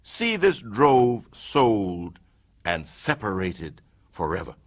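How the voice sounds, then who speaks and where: A low-voiced older man reads a historical oration in a slow, measured way. His delivery swings widely, swelling to impassioned heights and then dropping to near-hushed tones. The reading is dramatic and deeply solemn throughout. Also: very animated. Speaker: reader of a historical speech.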